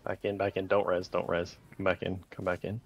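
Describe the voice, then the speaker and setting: A man leading a raid group over voice chat, calling short commands in rapid repeated bursts with countdowns. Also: low-pitched, monotone, highs cut off.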